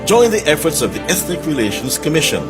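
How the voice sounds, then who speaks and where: A man reading a scripted public service announcement voice-over in a measured announcer manner. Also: fairly high pitch. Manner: measured, lively announcer delivery. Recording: voice-over under loud music.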